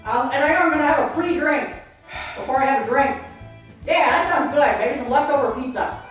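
A woman's voice: high voice, brisk, lightly acted. A woman playing Selina, heckling Batman from off camera with teasing, sarcastic remarks in a comedic cooking video. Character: Selina teasing Batman, mocking him with quacks. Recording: very echoey room, far from mic, faint music.

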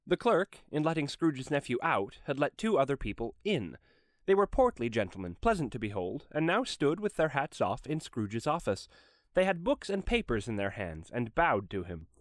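A man's voice: medium pitch, very animated, moderate pace, dry recording, studio quality. A man giving a dramatic scripted narration of a classic story.

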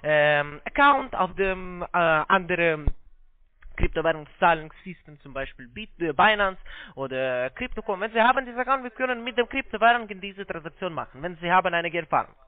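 Guy w foreign accent on a bad phone line, kinda high voice, halting sales talk, dodges stuff. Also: animated. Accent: foreign accent in German. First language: not German. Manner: halting, broken grammar, evasive. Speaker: call-centre scammer posing as trading platform agent. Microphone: telephone. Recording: muffled tinny phone line.

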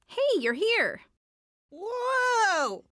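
A woman's voice: very high voice, sing-song, extremely slow, bright, forceful tone, no echo, clean studio sound, full character voice. A woman voicing a shy but upbeat teenage superhero girl in short video game lines, with casual quips and exclamations.

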